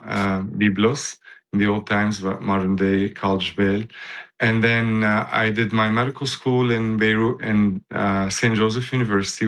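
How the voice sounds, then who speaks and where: A man in his fifties with a Lebanese accent, talking haltingly and casually as an interview guest. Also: on the low side, a bit bright, low-quality recording. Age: 50s.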